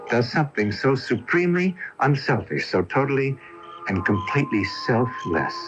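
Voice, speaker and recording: An actor playing a courtly, melancholy drama coach in a radio drama, speaking eloquently in theatrical, old-fashioned phrases. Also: male voice, medium pitch, very animated, normal speed, over music, natural voice. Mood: solemn, intense. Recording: dull lo-fi off-air radio tape.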